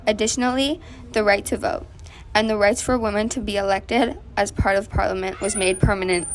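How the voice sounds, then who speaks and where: A woman's voice: mid-range voice, fairly even intonation, a little fast, a little hiss or hum, lightly acted, low-quality recording. Woman as women's rights activist, radio guest in the 1920s. Mid-range voice, earnest, explanatory read. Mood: earnest, pleased. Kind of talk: scripted amateur 1920s radio interview.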